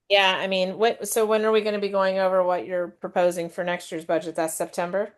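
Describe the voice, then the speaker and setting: A woman's voice: medium pitch, level tone, normal speed. A woman council member asking short, direct questions during a budget workshop. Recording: distant from the mic.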